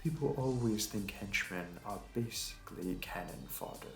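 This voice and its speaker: A man's voice: fairly low pitch, lively intonation, creaky. A man playing a suave, smug supervillain in an audio roleplay, with a mocking, flirty, theatrical delivery. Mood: measured, coy. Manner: theatrical, taunting, drawn-out delivery. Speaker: voice actor. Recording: roomy echo, distant mic, music behind.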